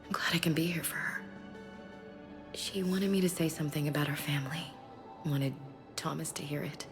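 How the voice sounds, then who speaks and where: A woman's voice: deep voice, normal speed, music in the background. An actress playing a tender, stoic woman soldier in a video game, earnest and restrained. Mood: grieving, subdued. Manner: subdued, earnest, emotionally restrained. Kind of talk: video game cutscene acting.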